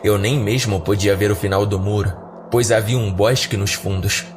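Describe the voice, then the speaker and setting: A man's voice: fairly low pitch, steady tone, normal speed. A man with a Brazilian accent narrating a scripted first-person horror story as a podcast narrator. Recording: background music under narration.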